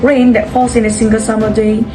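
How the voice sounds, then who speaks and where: A woman reads out a scripted explanation as a presenter. She sounds a little high in pitch, with music clearly playing behind. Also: level tone, a little fast, poor audio. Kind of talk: scripted narration. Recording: music over the voice.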